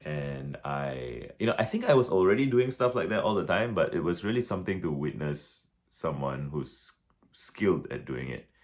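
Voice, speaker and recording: A man talking through his thoughts in a casual reflective vlog, with a deep relaxed voice, many restarts and fillers. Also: moderate pace. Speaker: vlogger.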